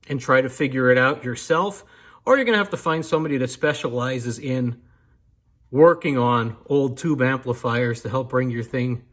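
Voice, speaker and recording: An American man talks through a product in an informal, unscripted review style. He explains each feature in a measured way, with a medium-pitched voice, like a hobbyist showing off a find. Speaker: vintage radio collector presenting a video review. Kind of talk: casual unscripted product demonstration.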